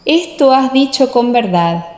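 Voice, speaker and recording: A woman narrating a scripture audiobook in a measured, solemn, scripted reading style. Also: high-pitched, animated, darkish tone.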